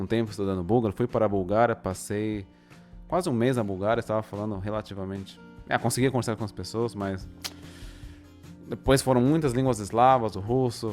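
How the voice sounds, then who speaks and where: A Brazilian man as an interview guest, speaking slowly and thoughtfully with many pauses. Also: on the low side, darkish tone. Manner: thoughtful, deliberately slow, with pauses. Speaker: guest, polyglot. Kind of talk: casual interview.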